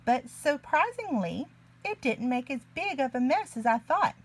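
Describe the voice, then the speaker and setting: A woman reading a picture book aloud to young children in an expressive, deliberate way. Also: sits high, breathy edge. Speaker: children's story reader, early learning teacher.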